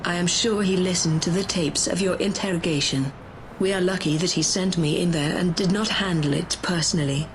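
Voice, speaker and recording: A woman's voice: lowish voice, brisk, noisy background, natural voice. A woman playing a bossy, menacing, power-hungry conspirator in a sci-fi audio drama. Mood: scheming.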